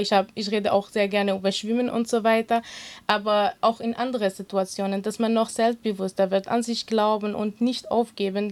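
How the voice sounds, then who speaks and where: A woman with a Kurdish accent, Kurdish her first language, answering interview questions in an earnest, halting way with many fillers. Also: mid-range voice. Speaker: interview guest, swimming instructor.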